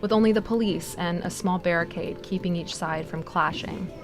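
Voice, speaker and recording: A woman's voice: on the low side, moderate pace, loud music behind the voice. An American woman reporter delivering scripted news narration.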